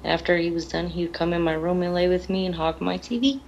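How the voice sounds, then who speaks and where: A grieving middle-aged woman being interviewed, speaking plainly and haltingly about painful things. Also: on the low side, flat delivery, darkish tone, dull audio, a little hiss or hum. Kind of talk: emotional television interview.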